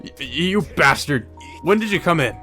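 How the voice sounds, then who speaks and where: A grumpy, easily flustered detective from a visual novel, played by a man. He splutters gruffly in a raised voice over background music. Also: on the high side, lively intonation, normal speed, sharp tone, pitched above the performer's own voice. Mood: startled, angry. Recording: music behind the voice.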